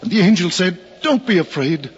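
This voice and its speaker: A man's voice: high-pitched, expressive, normal speed, slightly rough. An actor in a 1950s radio drama playing an awestruck, reverent shepherd, telling his tale in solemn declamation. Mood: reverent, exalted. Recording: muffled, noisy old radio recording.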